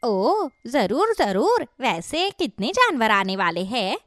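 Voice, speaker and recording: A woman's voice: on the high side, big pitch swings, takes it slowly, fairly bright tone, no echo, studio quality, exaggerated voice. Woman doing an obliging, self-assured vixen. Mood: eager, proud. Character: obliging female fox, boastful juice maker. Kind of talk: children's story cartoon dialogue.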